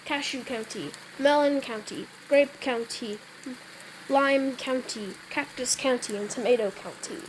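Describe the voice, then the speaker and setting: A woman's voice: high-pitched, a little hiss or hum, low-quality recording. A woman role-playing through a game, moving between casual remarks and improvised announcements. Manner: stumbles over read-out wording, often clears throat. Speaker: gamer playing out an emergency alert scenario. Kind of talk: casual gameplay role-play with improvised mock weather bulletins.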